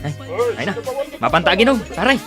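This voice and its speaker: A man's voice: high-pitched, sing-song, a little fast, over music. A man with an Ilocano Filipino accent playing a brash, scheming, persuasive friend in a radio drama. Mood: teasing. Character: brash, scheming male buddy who tempts his friend.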